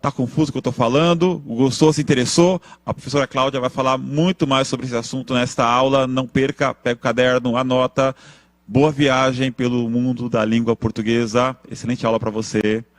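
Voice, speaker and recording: A man's voice: mid-range voice, flat delivery, a little fast, dry recording. A man giving an emphatic introduction to a lesson, asking rhetorical questions to draw the viewer in.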